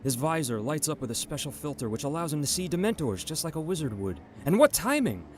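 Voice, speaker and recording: A man's voice: on the high side, sing-song, fast, a little hiss or hum. A man narrating a scripted radio drama in a dramatic storytelling manner. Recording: music bed behind the voice.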